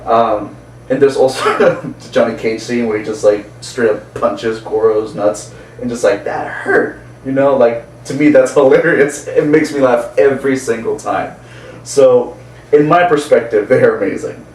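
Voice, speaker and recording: A man's voice: mid-range voice, a little slow, a little mellow. Enthusiastic man chatting in a roomy space. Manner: enthusiastic, chuckling as they talk. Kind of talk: casual chat about movies. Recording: roomy, distant mic, faint background noise.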